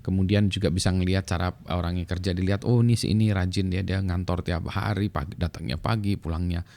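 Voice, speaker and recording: A man's voice: low-pitched, fast, gravelly. A middle-aged man with an Indonesian accent, talking casually and explaining at an easy pace, with frequent fillers. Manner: relaxed, many fillers and tag "ya". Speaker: vlogger sharing work experience.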